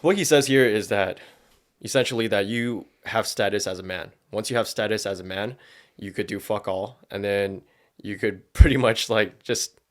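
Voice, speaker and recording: A man's voice: fairly low pitch, normal speed. Man giving casual, sweary podcast commentary. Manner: slangy, profanity-laden speech. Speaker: podcast host.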